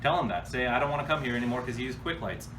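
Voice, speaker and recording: Man, mid-pitched voice, casual Q&A host, slangy and direct, noisy background. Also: expressive, very fast. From United States. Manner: blunt, informal, slangy. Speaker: host answering viewer questions. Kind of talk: casual off-the-cuff Q&A chat. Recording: distant mic with clear background noise.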